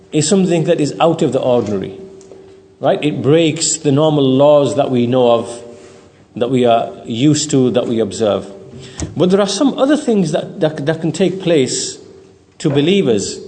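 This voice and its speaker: A man's voice: fairly high pitch, warm tone. A man giving an explanatory religious lecture as a teacher, didactic, repeating phrases for emphasis and checking in with the listener. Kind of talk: explanatory Islamic lecture, partly off the cuff. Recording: long hall-like reverb.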